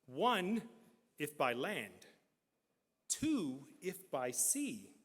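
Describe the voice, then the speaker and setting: A man's voice: fairly high pitch, very slow, slightly rough. Man reciting a poem in a reverberant hall. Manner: animated, rising emphasis on key words. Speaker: poem reader. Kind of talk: dramatic poetry reading at a live event. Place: large church hall. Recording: long reverb of a large hall.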